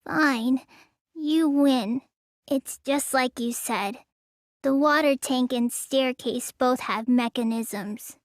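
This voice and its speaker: A woman's voice: high-pitched, slow, no room sound, clean studio sound. A woman voicing a sulky, lazy teenage girl in a video game, with a pouty drawl that stammers when flustered. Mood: flat, explaining. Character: sulky, lazy teenage girl who claims real magic. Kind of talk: English video game voice acting.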